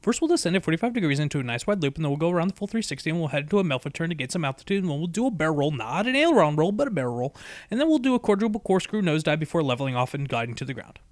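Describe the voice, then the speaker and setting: A man's voice: on the high side, big pitch swings, rapid-fire, no echo. A man voicing a brash, impatient female pegasus pony who shows off in casual, boastful talk in a storybook reading. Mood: eager, showy. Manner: casual, boastful rattling off of jargon.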